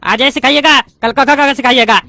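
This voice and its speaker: A voice actor doing a squeaky, touchy bald politician. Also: gravelly, pushed and bright, no room sound, full character voice. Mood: arguing. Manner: indignant, rapid-fire arguing and lecturing. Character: bald loudmouth male politician student, touchy and argumentative. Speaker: adult student in a class.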